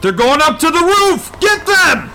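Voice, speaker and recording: A man playing an urgent police officer barking loud commands in a superhero audio drama. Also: very high-pitched, sing-song, measured pace, faint music behind, exaggerated voice, poor audio. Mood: urgent, commanding.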